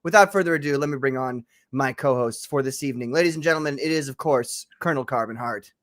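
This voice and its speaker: A man's voice: medium pitch, fast, fairly bright tone. A man hosting a casual live-streamed show, chatty and apologetic, laughing often. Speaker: host.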